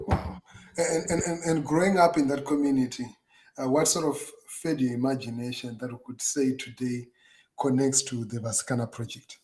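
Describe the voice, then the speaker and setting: A man's voice: mid-range voice, fairly even intonation, normal speed, low-quality recording. A man hosting an online interview, asking questions with hesitant restarts and fillers. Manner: hesitant, repeats words, gets louder on welcomes. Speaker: show host.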